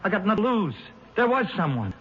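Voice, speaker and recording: An actor in an old radio drama playing a desperate, nervous murder defendant who stammers and talks in street slang. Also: male voice, high voice, sing-song, quick pace, husky, full character voice. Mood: weary, guarded. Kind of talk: 1970s radio drama acting. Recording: dull old radio broadcast.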